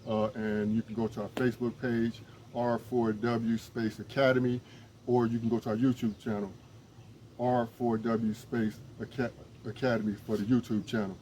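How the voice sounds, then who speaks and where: A man's voice: mid-range voice, little pitch movement, moderate pace, warm tone, a little hiss or hum. A man, an emergency management instructor, making an earnest, rambling, pleading appeal outdoors, with many restarts. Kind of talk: casual direct-to-camera appeal.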